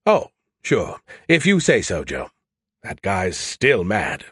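A man voicing a cocky, chatty artificial intelligence in an audiobook, talking in a loud, eager, teasing way. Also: fairly low pitch, huge pitch swings, slow pace, slightly rough, no echo, studio quality, heavily acted. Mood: mocking, teasing.

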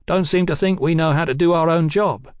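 A sly private detective from a storybook acted out by a male narrator. He needles his betters in cheeky street slang, pitched high and full of insolence. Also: fairly quick, clear, resonant tone, no echo, clean studio sound, lightly acted. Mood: grudging, sly.

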